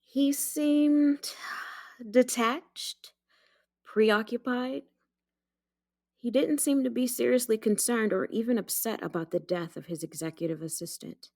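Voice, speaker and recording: An actress playing a composed detective witness who answers carefully and plainly in a courtroom audio drama. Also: female voice, a little high, measured pace, gravelly, darkish tone. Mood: measured.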